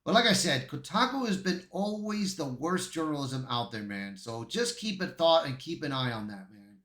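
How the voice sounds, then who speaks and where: A man's voice: fairly high pitch, sing-song, normal speed, a bit bright. A man giving online commentary, reading out an article with casual asides and stumbling over some words. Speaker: YouTube commentator. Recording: echoey untreated room.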